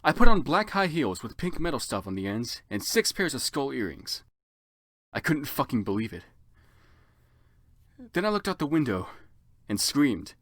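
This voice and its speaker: Theatrical narrator, comedic dramatic reading, exaggerated delivery, animated pitch, dry close sound. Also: normal speed, no echo.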